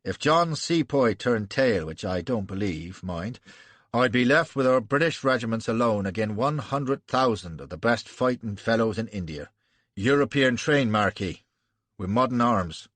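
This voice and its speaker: A man doing a gruff old Irish general. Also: on the low side, lively intonation, normal speed, a bit bright, no room sound. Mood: wry. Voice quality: thick brogue, blunt and folksy.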